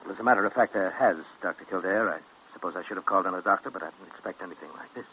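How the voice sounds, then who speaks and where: A man's voice: low voice, rapid-fire. An actor playing a sober, middle-aged businessman father, formal and concerned, in 1940s radio drama style. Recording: muffled, hissy old radio recording.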